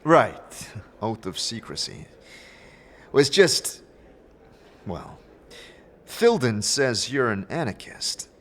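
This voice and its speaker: A man's voice: medium pitch, very animated, slow, long pauses, fairly bright tone, full character voice. A man playing a firm, blunt adventurer questioning people in a fantasy audio drama. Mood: probing.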